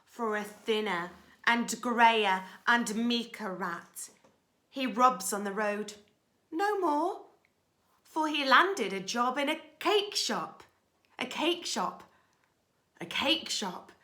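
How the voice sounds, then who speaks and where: A woman teacher reads a children's story with great expression. Her delivery is unhurried and very animated, bright in tone, with dramatic shifts between projected lines and hushed asides. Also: on the high side.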